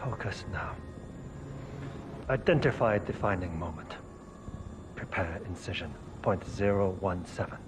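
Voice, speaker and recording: An actor playing an obsessive, self-absorbed scientist who mutters clipped clinical commands in a video game. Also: male voice, low-pitched, lively intonation, unhurried, long pauses, background noise. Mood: clinical focus.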